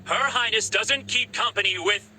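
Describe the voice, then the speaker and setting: High-voiced officious guard. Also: male voice, moderate pace, bright, forceful tone, slight background noise. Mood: dismissive. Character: brusque, officious royal guard. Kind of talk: anime game voice acting. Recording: music behind the voice.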